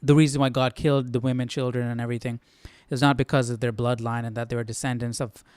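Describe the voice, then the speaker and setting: A man with a smooth, clear voice in a dry, close-sounding space, hosting a casual live study. He talks informally, working through his thoughts aloud and often checking that the listener follows. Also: mid-range voice, level tone, quick pace, darkish tone, no room sound. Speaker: host of a live Bible study stream. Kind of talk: casual live commentary on scripture.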